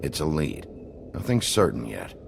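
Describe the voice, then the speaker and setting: An actor playing a gruff, weary, hot-tempered sheriff in a video game, terse and dry, with a gravelly voice. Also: male voice, very deep voice, big pitch swings, moderate pace, background noise, heavily acted. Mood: dry, guarded. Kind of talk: video game cutscene acting.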